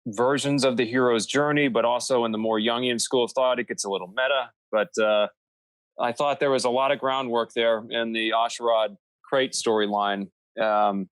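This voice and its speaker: A man's voice: medium pitch, no echo, rough recording. Podcast guest, man, mid-range voice, dry close sound, casual and meandering delivery. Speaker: podcast guest, audio drama creator.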